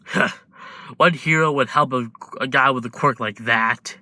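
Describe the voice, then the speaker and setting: A man's voice: medium pitch, unhurried, bright, forceful tone, dry recording. A man voicing a cold, dismissive healer who speaks curtly.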